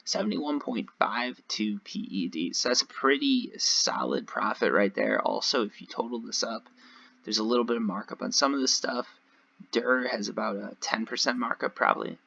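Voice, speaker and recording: Slightly hoarse, gravelly man commentating gameplay. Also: on the low side, moderate pace, sharp tone, highs cut off. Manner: rambling, conversational, addressing viewers directly. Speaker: gaming video creator. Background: voice-over recorded over gameplay.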